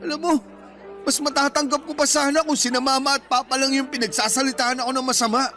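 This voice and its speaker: An embittered older brother in his thirties, worn down by providing for his family. His Filipino-accented voice is very high for a man and bright, full of hurt indignation. It is radio drama, with music underneath. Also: little pitch movement, fast talker, a faint crowd. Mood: hurt anger. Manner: emotional, wounded, indignant.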